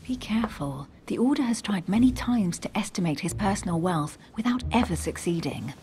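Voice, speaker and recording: A woman's voice: mid-range voice, expressive, fairly quick, mellow, a little hiss or hum, natural voice. A woman acting a cool, skeptical, learned young aristocrat in a video game cutscene, with a dry, nonchalant, sardonic delivery. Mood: intrigued.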